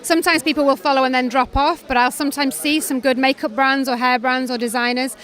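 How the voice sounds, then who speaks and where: Brisk high-voiced Welsh woman. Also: steady tone, fairly bright tone. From Wales. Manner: brisk, confident and articulate. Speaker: creative director. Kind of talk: interview answers. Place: backstage at a fashion show.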